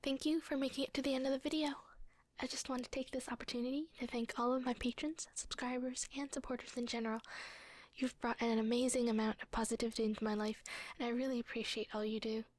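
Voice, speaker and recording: Quick, soft-spoken woman, close and dry. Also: on the high side, flat delivery, no echo. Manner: quiet, grateful. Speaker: video creator thanking supporters. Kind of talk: soft-spoken ASMR outro.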